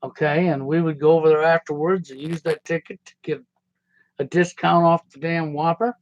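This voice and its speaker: A man's voice: on the high side, steady tone, normal speed, no echo. A middle-aged American man telling a rambling personal story in a folksy, casual way with loose grammar. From United States. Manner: folksy, conversational, digressive, nonstandard grammar. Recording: slightly dull, dry lo-fi sound.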